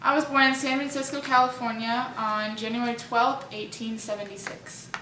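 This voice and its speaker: A young woman playing a rugged seafaring male author, quiet. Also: fairly high pitch, fairly even intonation, normal speed, echoey room, slight background noise, low-quality recording. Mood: subdued.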